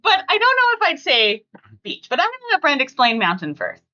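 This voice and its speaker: A woman's voice: sits high, wildly swooping pitch, fairly quick, sharp tone, muffled recording. A middle-aged woman hosting a podcast, chatting casually and emphatically with family. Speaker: podcast host.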